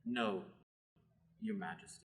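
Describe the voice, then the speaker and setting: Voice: slow, natural voice. A teenage actor playing the king's nervous, sardonic young nephew. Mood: cowed. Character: anxious sardonic young male nephew of the king. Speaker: student actor in a radio play. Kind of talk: amateur radio play acting.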